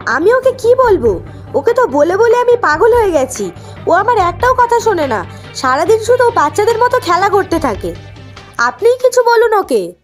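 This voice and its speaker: A woman's voice: very high-pitched, big pitch swings, normal speed, faint music behind. A woman playing an outspoken, exasperated young daughter-in-law in a cartoon, complaining and insistent. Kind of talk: cartoon moral-tale character acting.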